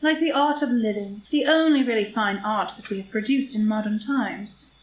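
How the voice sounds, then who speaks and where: A woman's voice: on the high side, moderate pace, slight background noise, natural voice. A woman playing a worldly, cynical society lady, cool and poised with epigrams, in a live reading of a period comedy. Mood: dry, witty. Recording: roomy, distant microphone.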